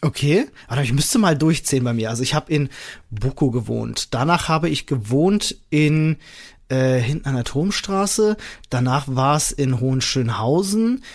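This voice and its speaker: A man's voice: mid-range voice, lively intonation, normal speed. A man from Berlin co-hosting a podcast, chatting casually in an upbeat way and laughing often. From Berlin, Germany. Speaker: podcast co-host. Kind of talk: casual chat.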